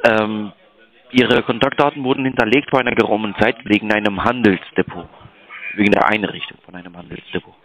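A man's voice: fairly low pitch, fairly even intonation, fairly quick, a bit bright, rough recording. A man making a polite sales call, deferential, apologetic and hesitant in the way he talks. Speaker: cold-calling sales agent.

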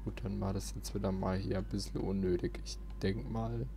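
A man's voice: sits low, fairly even intonation, normal speed, warm tone, a little hiss or hum. A young man hosting a let's play with casual gameplay commentary. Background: video game sound.

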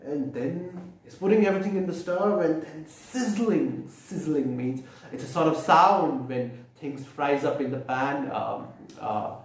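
A man's voice: fairly high pitch, a little slow, few pauses. A man with an Indian accent reading a picture book aloud to children, expressively explaining and asking questions as he goes. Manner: expressive, repeats and explains for young listeners. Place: small room indoors, seated at a table. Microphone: camera mic from a few feet away. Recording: echoey room, distant microphone.

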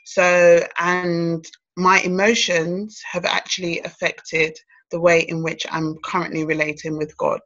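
A woman's voice: fairly low pitch, little pitch movement, a little slow, a bit bright, lo-fi sound. A woman in her thirties speaking earnestly and wearily as a guest on a video call panel. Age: 30s. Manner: earnest, weary.

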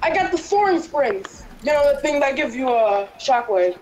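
A woman chatting during a game with friends, curious and asking quick questions. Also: sits high, very animated, darkish tone, slight background noise, low-quality recording. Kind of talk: casual gaming chat.

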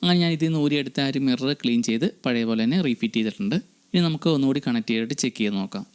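A man's voice: medium pitch, very fast, darkish tone, a little hiss or hum. A man, hosting an electronics repair channel and narrating a restoration tutorial step by step. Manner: explanatory, step by step, addressing viewers.

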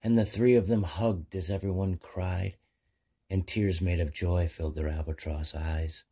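A man narrating a rhyming children's bedtime story in measured, sing-song verse. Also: low voice, fairly even intonation, mellow, breathy edge.

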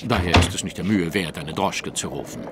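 An actor playing a self-assured gentleman detective in an audio drama, speaking briskly, precisely and with wry formality. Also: low voice, heavy background noise, natural voice.

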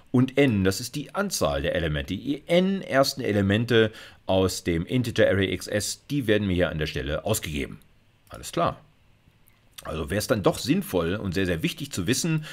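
A middle-aged man giving an informal screencast lecture, explaining live coding step by step in a colloquial way. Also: on the low side. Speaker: university computer science lecturer.

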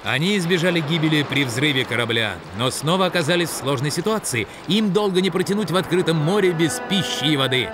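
A man's voice: on the high side, lively intonation, quick pace, few pauses. A man giving dramatic scripted cartoon narration in a theatrical, suspenseful manner. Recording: loud music under the voice.

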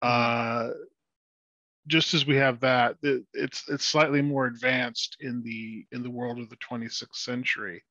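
A man in his thirties explaining in casual podcast conversation, halting and repeating words, on a headset. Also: medium pitch, little pitch movement, bright, forceful tone, no echo. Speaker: podcast guest, audio drama creator. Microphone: headset on a video call. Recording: slightly dull video-call sound.

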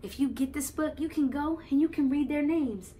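A young American woman reading a picture book aloud to children, expressively, pausing to explain and ask the young listeners questions. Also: high-pitched, mellow, a little hiss or hum. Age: young adult. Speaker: children's read-aloud host. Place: living room, on a couch.

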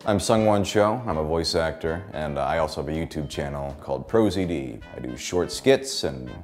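A young man who works as a voice actor, talking to camera about his craft in a relaxed, animated way and breaking into voices mid-sentence. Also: very deep voice, moderate pace, faint music behind. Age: young adult. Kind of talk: explaining voice acting to camera. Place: indoor studio with plain backdrop.

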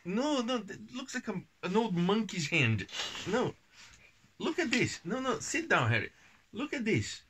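A middle-aged man, a father talking casually with his young son at home in short, informal prompts and gentle corrections. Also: high voice, sing-song, unhurried, noisy background. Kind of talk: casual family chat. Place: child's bedroom at home, indoors.

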